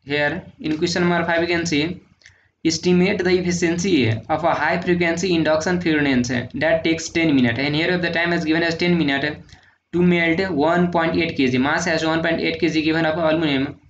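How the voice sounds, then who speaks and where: A man working through engineering numericals in a steady, methodical lecture, often adding "uh" and "sir". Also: a little high, fairly quick. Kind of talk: solving numericals on handwritten notes. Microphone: voice-over on screen recording. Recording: echoey room, dull lo-fi sound, faint noise.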